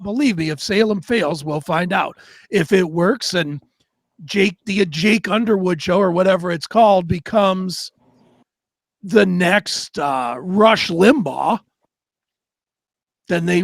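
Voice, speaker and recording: A man's voice: high voice, dry recording, studio quality. A man co-hosting a talk show in a casual, profane way, blunt and opinionated. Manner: blunt, opinionated, swears freely.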